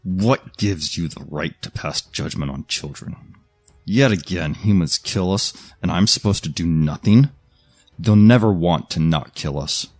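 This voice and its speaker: Man acting a low, brooding, menacing avenger. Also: unhurried, soft background music. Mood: bitter, indignant. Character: vengeful man turned cold-blooded killer, bitter and menacing. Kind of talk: dramatized audio story acting.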